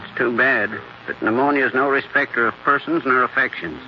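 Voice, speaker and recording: An actor playing a polite, sympathetic family doctor, measured and quietly doubtful, in an old radio drama. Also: male voice, medium pitch, lightly acted. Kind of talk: 1940s radio acting. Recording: muffled, faintly noisy 1940s radio recording.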